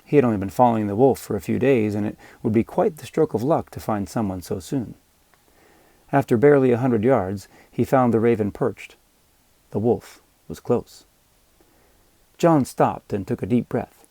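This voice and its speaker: A man narrating scripted fiction as an audiobook reader, with measured, dramatic storytelling. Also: mid-range voice, dark tone, no room sound.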